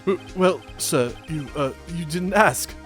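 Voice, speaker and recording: A man's voice: a little high, slow pace, a bit bright, soft background music. A man voicing a nervous, deferential hotel bellboy who hesitates and stammers, improvised for a video game playthrough.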